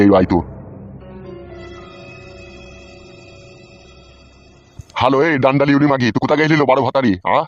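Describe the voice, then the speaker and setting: A man's voice: mid-range voice, highs cut off, close to an everyday voice. A man voicing a suspicious, hot-tempered old village husband in a comedy cartoon, ranting coarsely. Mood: angry, suspicious.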